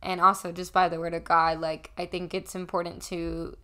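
A woman's voice: on the low side, flat delivery, sharp tone. A woman in her early twenties, a podcast guest, speaking earnestly and reflectively in casual conversation. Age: early 20s. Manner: earnest, reflective, with pauses and restarts. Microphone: desk condenser mic.

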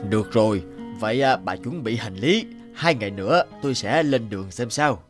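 A man's voice: lowish voice, lively intonation, lightly acted. Elderly, mild-mannered cartoon dad, male actor, plaintive and hesitant, set over music. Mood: gentle concern. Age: older. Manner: plaintive, halting. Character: gentle, sorrowful elderly father, well-off villager. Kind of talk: cartoon voice acting.

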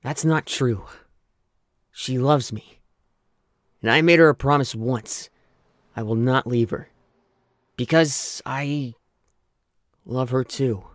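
A man's voice: mid-range voice, slow pace, pauses often, no echo, clean studio sound, lightly acted. A man voicing a hot-headed, protective young hedgehog hero in a cartoon comic dub, dramatic and earnest. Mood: hurt, earnest. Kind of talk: fan comic dub acting.

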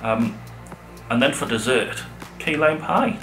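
This is a man chatting casually in an enthusiastic way, as if to a camera from the sofa. His voice has the echo of a living room around it. Music plays clearly behind him. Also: mid-range voice, animated, takes it slowly, fairly bright tone. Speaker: vlog co-host reviewing restaurants. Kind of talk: casual sit-down chat to camera. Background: background music.

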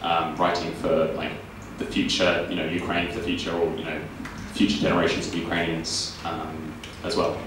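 Deep-voiced man, echoey and far off. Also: completely flat delivery, moderate pace, hardly any pauses. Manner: informal, hesitant. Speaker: audience member asking a question. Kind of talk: casual spoken audience question. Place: theatre audience. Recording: very echoey, distant theatre, faint noise.

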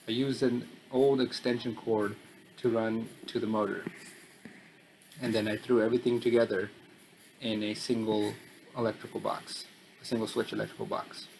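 A man's voice: fairly low pitch, little pitch movement, moderate pace, vocal fry, a little mellow, slight background noise, poor audio. Matter-of-fact man explaining a DIY build. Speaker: DIY channel host. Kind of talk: casual DIY voice-over walkthrough.

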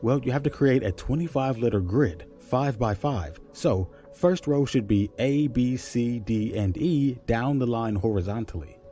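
A man's voice: medium pitch, unhurried, few pauses, dark tone, faint music behind, natural voice. An actor playing a patient, puzzle-savvy man who explains step by step in naturalistic audio drama dialogue. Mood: explaining.

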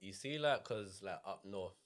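A man's voice: low-pitched, animated, normal speed. Slangy London man in casual chat. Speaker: podcast co-host. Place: small podcast studio, seated on a sofa. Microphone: studio microphone.

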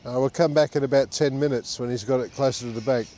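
A man's voice: mid-range voice, fairly even intonation, fast talker, dark tone, a little hiss or hum. A man chatting and joking with friends while fishing on a city riverbank, filmed on an action camera. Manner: dry, joking banter. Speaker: angler filming the trip. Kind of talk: casual fishing chat.

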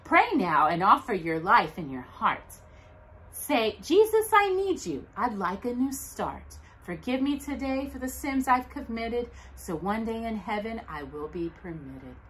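A middle-aged woman hosting a children's storytime, reading aloud in an expressive, deliberate, dramatic way with emphatic pauses. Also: on the high side. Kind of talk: expressive read-aloud of Bible verses and a children's Christmas book. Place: living room at home, indoors by a brick fireplace.

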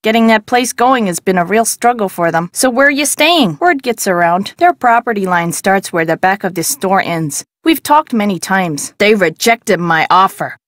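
A woman's voice: medium pitch, lively intonation, normal speed, hardly any pauses, no echo, clean studio sound, close to an everyday voice. An actress playing a friendly middle-aged woman who runs a desert craft shop in a video game, talking in a folksy, conversational way. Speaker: shop owner character in an adventure game. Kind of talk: scripted video game dialogue.

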